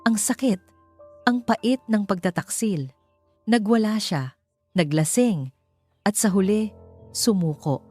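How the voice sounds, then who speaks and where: A woman with a Filipino accent narrates a scripted romance story in a dramatic, measured way. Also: mid-range voice, a little mellow. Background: background music.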